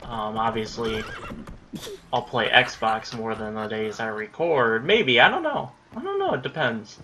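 A man's voice: mid-range voice, big pitch swings, measured pace, bright, forceful tone, slight background noise. A young man in his late teens rambling casually about his recording plans while playing a game, swearing when things go wrong. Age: young adult. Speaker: gaming YouTuber. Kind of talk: casual commentary over gameplay.

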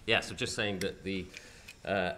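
A man with a cold, chairing a panel discussion in a lecture hall, speaking in a croaky, hesitant, measured way. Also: low voice, fairly even intonation, pushed and bright, slight background noise.

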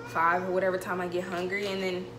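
A woman's voice: fairly low pitch, completely flat delivery, quick pace. A young woman chatting informally to camera in a casual vlog, in her small home kitchen. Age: young adult. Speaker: college student vlogger. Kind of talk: casual vlog chat, showing groceries. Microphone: camera a couple of metres away. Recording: echoey room with background noise.

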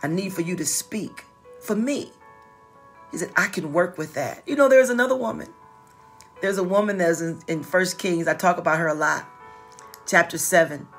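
A woman's voice: fairly low pitch, moderate pace, long pauses, faint music behind. A middle-aged woman preaching informally as a pastor, repeating phrases for emphasis, with a slight stutter. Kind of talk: informal livestreamed sermon. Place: small wood-paneled office.

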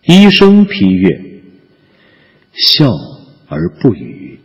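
A man narrating a campus romance audio drama as a gentle storyteller, his phrases trailing off softly. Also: mid-range voice, wildly swooping pitch, very slow, slightly rough, no room sound, dull audio, exaggerated voice. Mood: tender, dramatic. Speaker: narrator and actor. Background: music.

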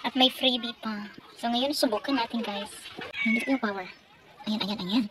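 Bright, high-pitched Filipino woman vlogging. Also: moderate pace. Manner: chatty, enthusiastic. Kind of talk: casual product unboxing vlog. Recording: home recording with background noise.

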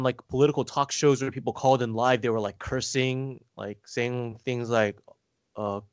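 A man telling family stories casually as a podcast guest, halting and rambling, saying "like" often. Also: medium pitch, no room sound. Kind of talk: casual conversational storytelling.

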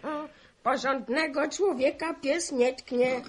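A young man in a 1960s Polish radio serial acting a village boy who reads a gruff old peasant woman's part in a thin, piping voice. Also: very high voice, steady tone, slow, fairly bright tone, exaggerated voice. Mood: crabby, declaiming.

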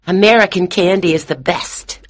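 A man's voice: high voice, normal speed, a bit bright, pitched above the performer's own voice. A man voicing a bold, candid little American boy in a storybook, with blunt, childish declarations. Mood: blunt, eager.

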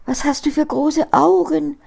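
A woman's voice: high voice, fairly even intonation, unhurried, vocal fry, warm tone, pitched above the performer's own voice, exaggerated voice. An elderly woman voicing an innocent, wondering little girl in a fairy tale, earnest and exclaiming. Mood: anxious wonder.

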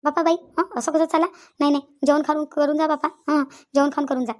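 A woman's voice: sits high, fairly even intonation, quick pace, lightly acted. A woman playing insistent, talkative village women in a comedy cartoon, with a rural Vidarbha Maharashtrian accent and a habit of repeating phrases. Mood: insistent hospitality. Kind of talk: Marathi comedy cartoon dialogue.

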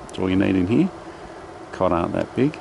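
A man's voice: low voice, brisk, creaky. A man giving laid-back, matter-of-fact fishing commentary on a reservoir shore, recorded on a body-mounted action camera. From Australia. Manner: laid-back, matter-of-fact gear talk with excited outbursts. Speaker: fishing vlogger. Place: outdoors at a reservoir shore, wind and water. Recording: wind and water noise outdoors.

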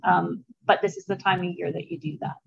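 Older woman with a gravelly, husky voice. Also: lowish voice, fairly even intonation, brisk. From Canada. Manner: chatty, anecdotal, with frequent fillers and asides. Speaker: beekeeper giving a presentation. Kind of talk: casual informal talk over slides. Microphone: video call webcam. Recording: dull lo-fi video call audio.

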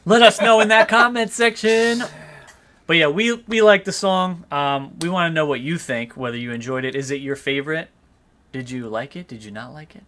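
A man's voice: a little high, sharp tone. A young man co-hosting a casual music reaction chat from a small home studio. He readily agrees with his partner and handles the calls to like and comment. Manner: agreeable, quick to chime in, delivers the calls to comment and like. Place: small dim home studio room, indoors. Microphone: desk microphone, wearing headphones.

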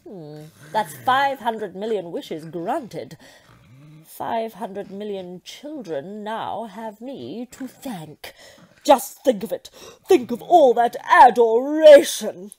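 A woman's voice: medium pitch, very animated, slow pace, a little mellow. A woman playing a vain, imperious lady devil with theatrical menace in an audio comedy drama. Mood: smug.

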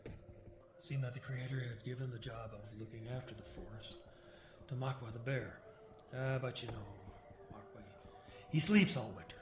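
A man's voice: medium pitch, normal speed, noisy background, lightly acted. An actor playing a haunted older man telling stories in an audio drama, plain-spoken and folksy, with clipped phrasing and wry humor. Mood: wry storytelling.

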